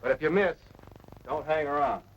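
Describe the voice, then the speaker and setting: An actor playing a seasoned, patient air force officer giving measured, authoritative instruction in a 1940s military training film. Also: male voice, fairly high pitch, natural voice. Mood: cautionary. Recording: noisy dull vintage film soundtrack.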